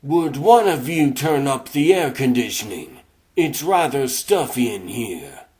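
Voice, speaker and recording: A suave cartoon cat crime boss, played by a man, speaking slowly and deliberately. He is pompous and wordy, choosing formal phrases with a smug air of menace. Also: mid-range voice, sing-song, darkish tone, a little hiss or hum. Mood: smug, menacing. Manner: pompous, verbose, formal diction. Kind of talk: comic dub voice acting.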